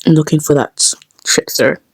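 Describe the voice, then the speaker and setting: Woman, low pitch, dry sound, casual creator chat, rambling, playful muttering. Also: steady tone, normal speed, husky, no room sound. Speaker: video creator making comic dubs. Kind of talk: casual behind-the-scenes chat.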